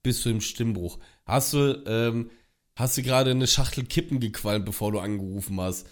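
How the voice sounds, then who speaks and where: A young man co-hosting a podcast, hoarse from a cold, rambling and complaining in casual chat with plenty of swearing. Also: mid-range voice, moderate pace. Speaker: podcast co-host.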